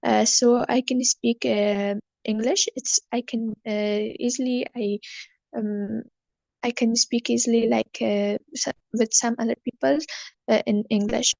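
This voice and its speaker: Persian-speaking woman from afghanistan, bit high, gravelly, lots of uhs, dry sound. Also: little pitch movement, darkish tone, no room sound, low-quality recording. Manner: hesitant, many fillers, halting learner English. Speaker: student. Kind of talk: online class speaking practice.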